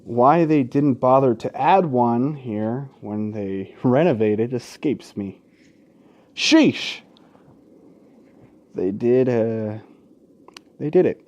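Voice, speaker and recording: A man's voice: mid-range voice, lively intonation, takes it slowly, pauses often, a little mellow, highs cut off. A man hosting a let's play in an informal, sweary way, mixing casual gaming commentary and asides with game text read aloud.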